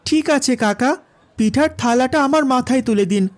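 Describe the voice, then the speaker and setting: A man's voice: very high-pitched, normal speed. A man voicing an earnest poor village boy who hawks goods in a Bengali cartoon story. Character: Raju, earnest poor village boy selling rice cakes. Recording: music behind the voice.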